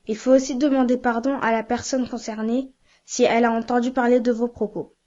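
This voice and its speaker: A woman's voice: on the high side, level tone, a little fast, dark tone, dull audio, close to an everyday voice. A woman voicing an earnest, conscientious schoolboy in an educational children's cartoon.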